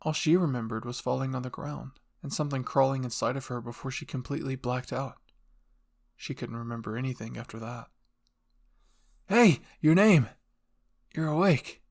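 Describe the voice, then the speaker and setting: A man's voice: medium pitch, fairly even intonation, brisk, vocal fry. A man reading scripted story narration as the narrator of a fan fiction roleplay.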